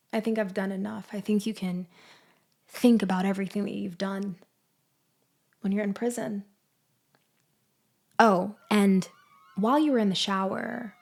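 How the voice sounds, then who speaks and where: A woman playing a betrayed, vengeful ex-girlfriend in an audio roleplay monologue, taunting with deliberate, condescending delivery. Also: medium pitch, vocal fry, a little mellow. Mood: quietly menacing. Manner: slow, deliberate, condescending, with sighs and pauses. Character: betrayed, vengeful ex-girlfriend confronting her framer, coolly taunting. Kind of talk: girlfriend ASMR-style dramatic roleplay monologue.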